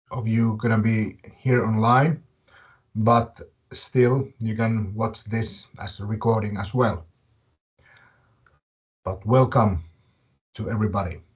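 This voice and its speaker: A man with a Finnish accent hosting a live webinar, speaking in short, halting, deliberate phrases. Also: medium pitch, darkish tone, lo-fi sound. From Finland. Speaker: webinar host and fitness academy director.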